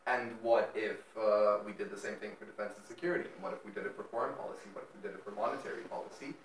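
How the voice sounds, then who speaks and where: A man's voice: lowish voice, rapid-fire. A young man from Serbia, a student, earnestly laying out a long proposal as an audience question at a panel. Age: young adult. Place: lecture room. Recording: echoey room, distant mic, faint noise.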